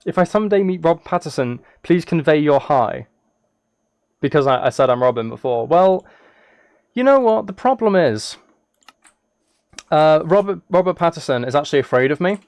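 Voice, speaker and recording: Guy streamer rambling casually, kinda high voice, jokey mock-serious, dry close sound. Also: animated, moderate pace, long pauses, darkish tone, no room sound. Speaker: live streamer. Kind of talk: casual livestream chat while gaming.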